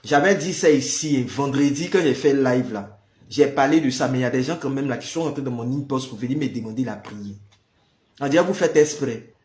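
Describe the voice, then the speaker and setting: A man's voice: medium pitch, brisk, darkish tone, reverberant. A man with the flu, leading a prayer livestream and chatting casually with viewers, greeting them by name. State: has the flu. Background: noise of building work.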